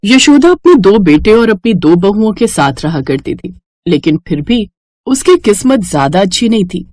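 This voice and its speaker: A woman's voice: mid-range voice, very animated, moderate pace, a little mellow, no echo, studio quality. A woman reading scripted narration for a moral cartoon story.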